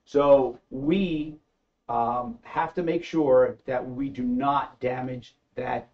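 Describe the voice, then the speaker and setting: A man's voice: mid-range voice, fairly even intonation, slow pace, highs cut off. Hesitant middle-aged man explaining machinery. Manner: hesitant, many fillers. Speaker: company engineer, co-inventor. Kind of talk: casual panel discussion. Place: studio set with backdrop.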